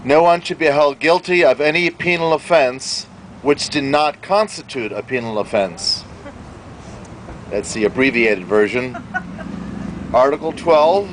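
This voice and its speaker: A man's voice: a little high, poor audio. A man reading the articles of a formal document aloud in an outdoor plaza. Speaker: public reader at a rights event. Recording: outdoor recording with faint traffic noise.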